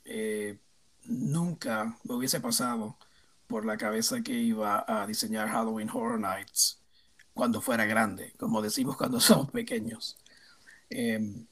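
Un hombre de unos cincuenta años con acento puertorriqueño, invitado en una entrevista, que habla de forma reflexiva y pausada, con muchas muletillas. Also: male voice, medium pitch, expressive, gravelly, a bit bright. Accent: Puerto Rican. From Puerto Rico. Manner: reflective, hesitant, many fillers. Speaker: guest, theme park designer. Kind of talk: casual interview answers.

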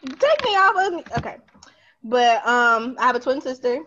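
A young woman chats on a podcast, playful and quick to giggle. Her voice sits high. The recording is a little muffled. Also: very animated, a bit bright, a little hiss or hum. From North Carolina, USA. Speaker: podcast co-host. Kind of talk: casual chat.

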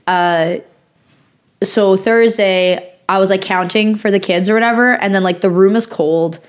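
Young woman co-host, raspy and sniffly from a lingering cold, chatty, rambling delivery full of "like.". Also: mid-range voice, fairly even intonation, a little slow. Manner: rambling storytelling with many fillers. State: hoarse, recovering from lost voice; sniffly from sinus infection. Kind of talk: casual chat.

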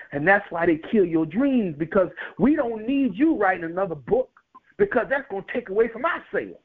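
A man preaches with a very high, lively voice that swings widely as he drives home repeated phrases. The sound is tinny and narrow, like a broadcast over a phone line. He speaks in a rhythmic, emphatic sermon cadence. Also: husky.

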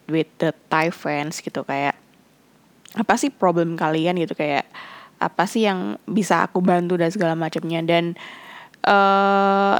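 A woman's voice: on the low side, no room sound. A woman from Indonesia in a casual chat, switching between Indonesian and English, hesitant and full of fillers and restarts. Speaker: fan sharing her experience.

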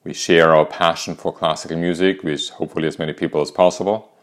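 A man in his fifties with an Austrian accent, hosting a podcast and calmly telling a candid personal story. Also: low-pitched, fast. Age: 50s. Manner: calm, reflective, candid. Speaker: podcast host.

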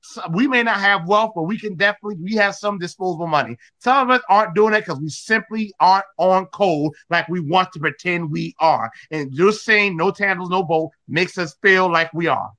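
Male host, high voice, emphatic and preachy, hammers his points home. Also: brisk, hardly any pauses, bright, forceful tone. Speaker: host of an online audio room. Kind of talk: political talk.

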